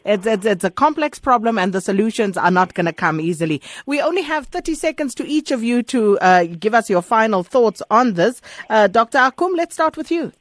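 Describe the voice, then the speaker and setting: A woman's voice: fairly high pitch, lively intonation, brisk, few pauses, no room sound. A woman hosting a live radio call-in show, moderating callers and guests.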